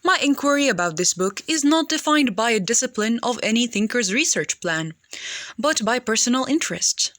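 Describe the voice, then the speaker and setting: A woman narrates an audiobook from a prepared script. Her voice sits fairly high and moves through very lively, wide swings of intonation. The sound is dry, with almost no room around it. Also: no echo.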